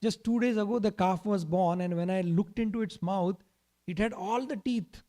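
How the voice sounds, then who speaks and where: A man's voice: high voice, fairly even intonation, normal speed, mellow, voice pushed up, natural voice. A man voicing a naughty three-year-old boy in a storytelling, whining and protesting in a childlike way. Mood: aggrieved.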